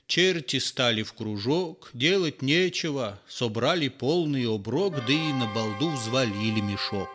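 A male narrator reads a fairy tale in verse with theatrical flair. He speaks very slowly, at a medium pitch, with faint music beneath. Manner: theatrical, lively storytelling. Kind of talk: expressive fairy tale reading.

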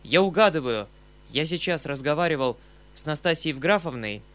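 A man voicing an eager, excitable young gentleman in an audiobook novel, earnest and exclamatory. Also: fairly high pitch, normal speed, clear, resonant tone. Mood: impatient.

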